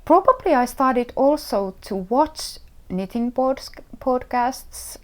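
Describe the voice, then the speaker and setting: A middle-aged woman from Finland with a Finnish accent, hosting her own knitting podcast and talking casually, often pausing with fillers and restarting her sentences as she searches for words. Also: high voice, lively intonation. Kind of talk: casual solo podcast talk.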